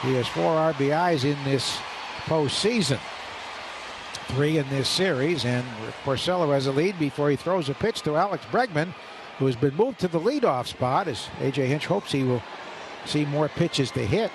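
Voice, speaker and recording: A man calls baseball play-by-play on radio in a slightly high voice, brisk and detailed. He rises with the action. Steady background noise runs under the broadcast booth. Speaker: play-by-play announcer.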